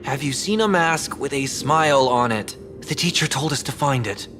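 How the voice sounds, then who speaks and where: A man's voice: mid-range voice, moderate pace, background noise, natural voice. A man playing an impatient, sarcastic young student in an anime-style game, complaining in an exasperated manner.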